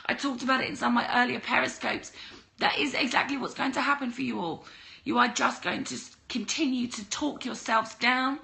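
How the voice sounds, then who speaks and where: A young woman with a cold and a croaky voice, chatting on a livestream and giving blunt mindset advice. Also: a little high, fairly even intonation, a little fast, pushed and bright, low-quality recording. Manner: chatty, greets viewers, blunt tough-love advice. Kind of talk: casual livestream talk to viewers.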